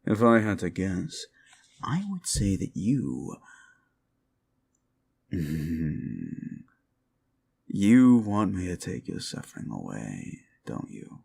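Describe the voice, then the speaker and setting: A man playing a sly, mocking monster demon in an audio roleplay, with a theatrical, drawn-out, taunting delivery. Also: sits low, huge pitch swings, creaky, a little mellow, breathy edge, heavily acted. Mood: savoring, menacing. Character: sly, mocking classic monster demon, male, summoned to grant wishes. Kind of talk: scripted audio roleplay, ASMR.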